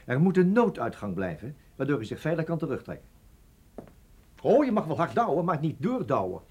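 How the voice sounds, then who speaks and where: Lively, husky, sceptical colleague. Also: male voice, fairly high pitch, a little fast. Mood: earnest, reasoning. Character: level-headed, sceptical male colleague, dryly teasing. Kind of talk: radio drama dialogue.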